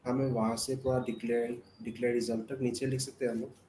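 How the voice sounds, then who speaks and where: An echoey, slightly noisy connection carries a student with an Indian accent and a soft, mellow tone. The student makes polite requests to a teacher during an online class. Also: mid-range voice, level tone, normal speed. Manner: polite, deferential.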